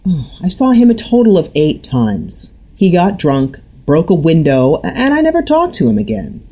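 A guarded grown daughter who pushes back with clipped, strained replies, voiced by a woman reading a novel. Her voice rises above the reader's usual low pitch and moves a great deal. It carries a husky edge. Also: huge pitch swings, normal speed, mellow. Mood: defensive. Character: tense adult daughter, guarded and defensive.

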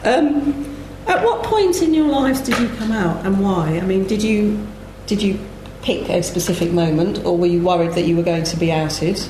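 A woman's voice: mid-range voice, animated, normal speed, hardly any pauses, warm tone. A woman moderating a live panel, putting questions to guests in an informal style. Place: live festival panel in a roomy venue. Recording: echoey room with clear background noise.

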